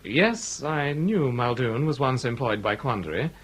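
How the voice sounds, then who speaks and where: An actor playing a suave, snobbish baronet in a period comedy radio drama, with an upper-class British accent and effusive, arch phrasing. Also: male voice, mid-range voice, animated, unhurried, breathy edge. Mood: arch evasion.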